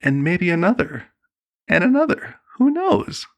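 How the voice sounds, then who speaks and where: Man playing slow, soothing cult leader. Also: high voice, big pitch swings, creaky, exaggerated voice. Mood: coaxing, reassuring. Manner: slow, soothing, condescending, persuasive. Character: serenely manipulative male cult leader, a chosen lamb. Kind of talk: ASMR roleplay monologue.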